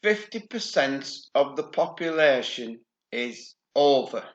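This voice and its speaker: A sardonic man reads news articles aloud at a slow, deliberate pace, cutting in with dry, mocking remarks. His voice sits in a roomy space on a dull, lo-fi home recording. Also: medium pitch, expressive. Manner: dry, sardonic, swears casually. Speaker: channel host. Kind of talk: reading news aloud with sarcastic commentary.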